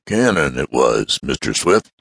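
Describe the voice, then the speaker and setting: A man voicing an odd, eager older gentleman who talks in a fussy, exclamatory way. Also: extremely low pitch, sing-song, measured pace, vocal fry, husky, no echo, clean studio sound, full character voice. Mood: matter-of-fact.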